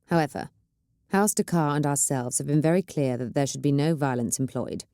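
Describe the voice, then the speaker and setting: A woman's voice: low-pitched, a little fast, dark tone, no room sound, clean studio sound. A woman voicing a proud, guarded noblewoman who speaks bluntly.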